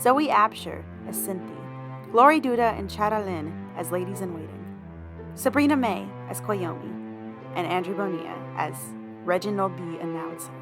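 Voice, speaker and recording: Casting: female credits announcer, mid-pitched, scripted read over prominent music. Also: animated, moderate pace. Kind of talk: scripted narration.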